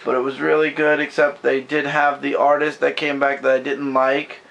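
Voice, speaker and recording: A man losing his voice and sounding hoarse, chatting loosely about comics from behind the camera in a bedroom. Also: medium pitch, flat delivery, moderate pace, fairly bright tone, muffled recording. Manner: rambling, loose and self-correcting. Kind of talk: casual comic book review chat. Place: bedroom at home. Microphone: camera mic, speaking from behind the camera.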